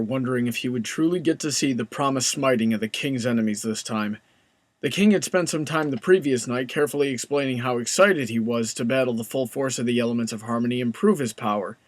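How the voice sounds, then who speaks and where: Man, scripted story narration, medium pitch, very dry recording. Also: dry recording.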